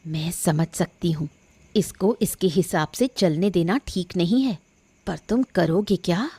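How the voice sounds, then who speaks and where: Cartoon mother-in-law, woman actor: low-pitched, scornful, menacing, scheming matriarch of a rich house. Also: measured pace, dark tone, husky, no room sound. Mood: suspicious. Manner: scornful, threatening. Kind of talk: Hindi cartoon moral-story dubbing.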